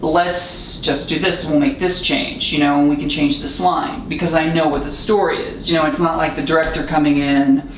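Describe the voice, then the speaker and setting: A man with a slightly high voice talks as a guest filmmaker. He explains himself earnestly in a conversational way. The recording is roomy, a bit off-mic, with faint noise. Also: flat delivery, moderate pace, few pauses, distant mic. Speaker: film director and writer, podcast guest. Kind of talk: casual interview chat. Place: echoey studio room.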